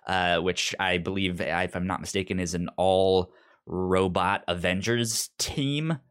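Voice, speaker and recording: A man's voice: deep voice, a little slow, fairly bright tone, clear, resonant tone. A man co-hosting a podcast, explaining stories in casual conversation, often repeating words and syllables as he goes. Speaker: comics podcast co-host. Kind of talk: casual chat, retelling and explaining comic plots.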